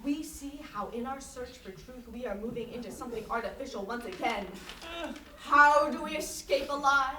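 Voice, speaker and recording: A woman's voice: fairly high pitch, normal speed, bright, forceful tone. A woman playing a domineering, philosophizing interviewer in a staged play reading, making grandiose theatrical pronouncements. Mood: grandly philosophical. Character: domineering, philosophizing interviewer pushing another to abandon ego. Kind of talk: staged play reading before a live audience. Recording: echoey stage from far off, audience noise.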